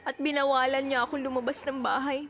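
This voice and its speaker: A tearful young trapped wife. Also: female voice, high-pitched, flat delivery, brisk, slight background noise. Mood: hushed sorrow. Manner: pleading, tearful. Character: young wife kept at home by a jealous husband. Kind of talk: radio drama acting. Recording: lo-fi radio broadcast with music.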